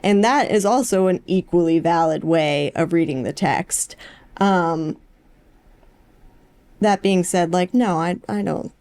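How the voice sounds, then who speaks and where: A woman's voice: lowish voice, slow pace, darkish tone. A young adult woman co-hosting a podcast, talking in a casual but analytical, thoughtfully academic way. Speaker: podcast co-host.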